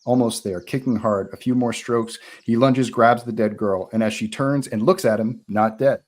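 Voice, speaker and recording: A man's voice: medium pitch, fairly even intonation, moderate pace, darkish tone. A man reading out screenplay stage directions as narrator at a live table read.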